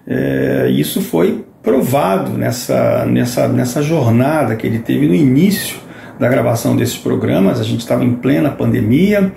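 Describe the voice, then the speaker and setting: A man's voice: medium pitch, sing-song, normal speed, gravelly, mellow. A middle-aged Brazilian man giving a reflective spoken testimonial. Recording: roomy echo, background noise.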